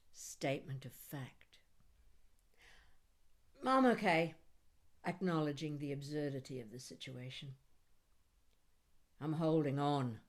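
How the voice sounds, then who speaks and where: Slow-paced woman reading poetry expressively. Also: sits low. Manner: deliberate, measured phrasing with dramatic pauses. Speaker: poet reading own work. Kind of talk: expressive poetry reading with brief chatty asides.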